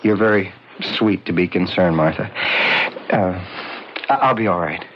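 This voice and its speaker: A man playing a troubled, grieving husband in a 1970s radio drama, hesitant and earnest yet stubborn, with halting delivery. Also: sits low, heavy background noise, lightly acted. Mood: subdued. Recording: dull old radio drama recording.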